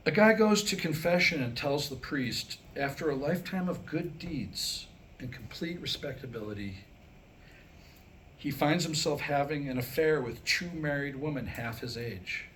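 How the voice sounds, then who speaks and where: Guy reading out jokes at a live staged reading, highish bright voice, does the dialogue, bit of room noise. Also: expressive, moderate pace. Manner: storytelling with acted-out dialogue. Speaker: joke teller at a staged script reading. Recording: noisy live room recording.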